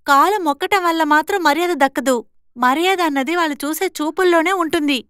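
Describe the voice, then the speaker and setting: A woman's voice: sits high, fast, a bit bright, dry recording, clean studio sound. A woman voicing a bold young woman in a moral story cartoon, scolding and assertive as she stands up to her in-laws. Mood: defiant, indignant.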